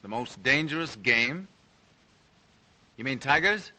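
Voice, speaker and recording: An actor playing a curious, plain-spoken hunter, polite in manner, in an old 1930s drama style. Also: male voice, medium pitch, animated, slow, bright, forceful tone, full character voice. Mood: puzzled. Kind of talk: 1930s film-era drama acting.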